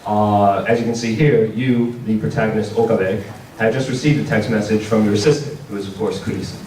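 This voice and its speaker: A man giving an informal convention panel presentation in a hesitant, halting way. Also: fairly low pitch, fairly even intonation, a little mellow. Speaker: panel presenter for a game publisher. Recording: distant mic in echoey, noisy room.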